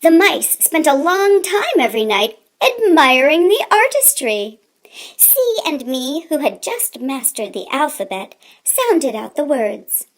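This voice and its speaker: A woman reading a children's story aloud in an expressive, deliberate way, with theatrical emphasis. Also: sits high.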